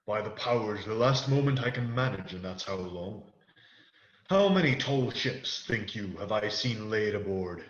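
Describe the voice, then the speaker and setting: A man's voice: on the low side, a bit bright. A man playing a wily, swaggering pirate ship's cook in a radio play, talking in boastful, salty pirate dialect. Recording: echoey room, dull muffled sound.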